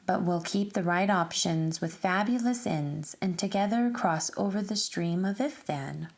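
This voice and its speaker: Slow-paced woman reading a children's story. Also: fairly low pitch. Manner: deliberate, instructive, addressing young listeners. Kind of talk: expressive read-aloud of rhyming story.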